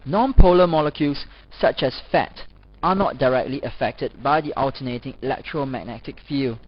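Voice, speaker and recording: A man reading scripted educational narration as a lecturer, in a formal, measured manner. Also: mid-range voice. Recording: dull, lo-fi voice-over recording.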